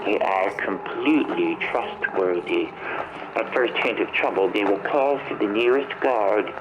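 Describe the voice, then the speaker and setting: An actor playing a pompous, falsely gracious zealot inventor in a video game, preaching grandly to noble guests in archaic thee-and-thy speech. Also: male voice, on the low side, unhurried, close to an everyday voice. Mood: pious, instructive. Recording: dull, noisy, radio-like playback of a recorded message.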